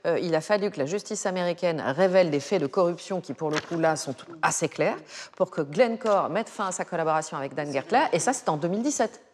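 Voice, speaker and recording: Lady journalist pressing hard with questions, lowish voice, big echoey room. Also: lively intonation, brisk, a little hiss or hum. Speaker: investigative journalist interviewer. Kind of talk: probing interview questions.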